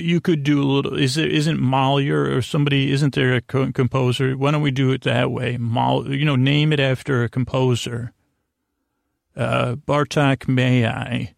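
A man hosting a bedtime podcast in a rambling monologue, halting and often trailing off mid-thought, with a gravelly voice. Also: medium pitch, no echo, studio quality. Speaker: podcast host. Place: podcast studio.

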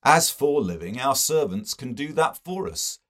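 A man's voice: mid-range voice, very animated, unhurried, fairly bright tone. A man voicing a lofty, impatient man of letters in a novel, dismissive and exclamatory.

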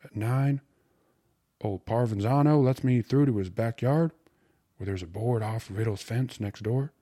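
A man's voice: medium pitch, a little slow, dark tone, pitched above the performer's own voice, close to an everyday voice. A man voicing a cheerful, cocky young suitor who talks in eager, colloquial slang for an audiobook. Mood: eager, urgent. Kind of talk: audiobook character voice.

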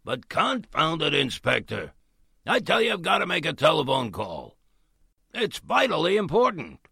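Male radio-drama hothead actor: extremely creaky, raspy, highish, pushy and mouthy. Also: huge pitch swings, normal speed, sharp tone, dry recording, studio quality, heavily acted. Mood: impatient. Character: impatient, wisecracking man pestering a policeman. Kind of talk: 1940s radio drama acting.

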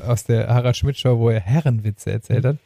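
A man's voice: medium pitch, fairly quick, vocal fry, warm tone, no room sound. A man co-hosting a podcast, talking in a casual, chatty way. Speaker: podcast host. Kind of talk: casual chat.

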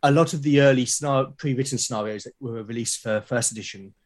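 Husky British man in casual conversation. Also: medium pitch. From Britain. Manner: halting, repeats words as he explains. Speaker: podcast guest, game master and podcast creator. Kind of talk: casual conversational interview talk.

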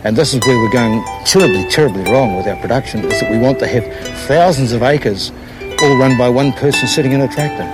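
A man's voice: medium pitch, a little fast, loud music behind the voice. A man explaining hands-on gardening in an earnest, plain-spoken way, repeating words for emphasis. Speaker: organic gardener. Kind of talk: informal explanation and demonstration to camera in a documentary.

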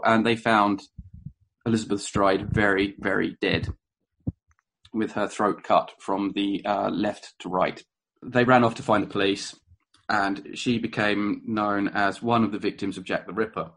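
A man's voice: fairly low pitch, steady tone, moderate pace, fairly bright tone, a little hiss or hum, rough recording. A man giving an informal history talk to a room, full of ums and uhs, self-correcting and dropping wry asides. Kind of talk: informal spoken presentation to a live audience.

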